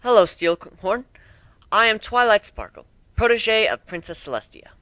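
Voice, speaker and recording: A man's voice: high-pitched, big pitch swings, normal speed, bright, forceful tone, no room sound, voice pushed up, exaggerated voice. A man voicing a polite, studious young female pony, a little tentative, in a dramatic story reading.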